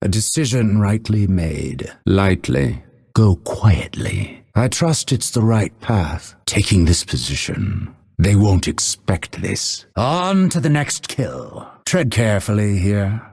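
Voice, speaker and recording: An actor playing a solemn, dry-witted male assassin in a video game, with measured, coldly menacing delivery. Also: lowish voice, big pitch swings, vocal fry, darkish tone, husky, exaggerated voice. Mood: solemn, devoted, then focused, terse. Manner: measured, deliberate, dryly sardonic delivery. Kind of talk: scripted game character voice lines.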